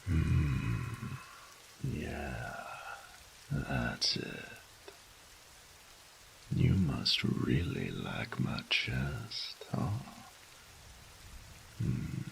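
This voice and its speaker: An extremely deep-voiced, flirtatious male lover, acted by a man speaks in an intimate ASMR roleplay. His delivery is slow and teasing, with a heavy gravelly fry and a folksy, doting manner. It turns breathy and whispery in places. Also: sing-song, slight background noise, full character voice. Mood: teasing, tender.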